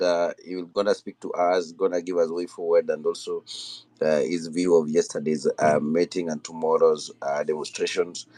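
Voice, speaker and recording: A man's voice: low voice, a little mellow. A man hosting a live audio discussion, talking in an upbeat, repetitive way with many fillers. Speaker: host.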